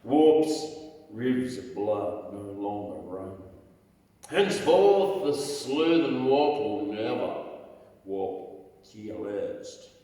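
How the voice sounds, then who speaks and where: A man with a croaky voice, hosting an open mic and reciting his own poetry in a dramatic, chanting way with sudden loud rises. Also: lowish voice. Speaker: open mic host and poet. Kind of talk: performance poetry reading. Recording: echoey hall, mic far off.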